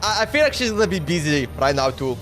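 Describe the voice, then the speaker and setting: A man with a fairly high voice livestreaming a game. He reacts casually to the story as it unfolds, with faint music in the background. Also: sing-song, quick pace, fairly bright tone.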